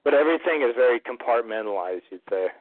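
A man's voice: medium pitch, expressive, fast talker, no room sound. A man co-hosting a call-in show, interviewing a guest with brief affirmations. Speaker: co-host. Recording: tinny phone line.

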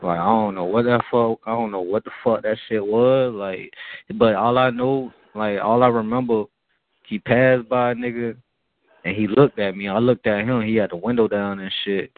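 A man from Miami telling a rambling, profane story in casual chat, with a halting manner. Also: on the low side. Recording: phone-like band-limited audio.

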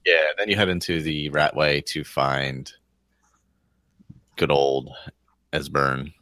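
A man's voice: very deep voice, level tone, slow, pushed and bright, dry recording. A man on a podcast panel, chatting casually about a video game.

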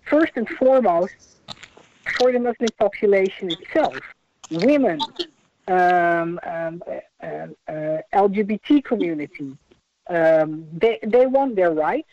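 A speaker in a radio interview, talking in a measured, halting way with many restarts. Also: medium pitch, expressive, a little mellow. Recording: muffled lo-fi radio audio.

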